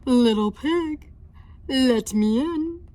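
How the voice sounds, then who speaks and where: A woman voicing a hungry, blustery big bad wolf in a children's storybook, booming out slow, drawn-out threats. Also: lively intonation, mellow, exaggerated voice. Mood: demanding.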